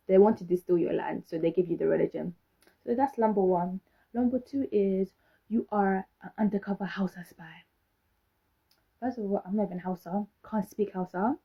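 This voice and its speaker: A woman's voice: medium pitch, moderate pace, breathy edge, dull audio. A young British woman in her twenties, talking casually to camera in a sassy, sarcastic way, full of rhetorical questions. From Britain. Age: young adult. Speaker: vlogger.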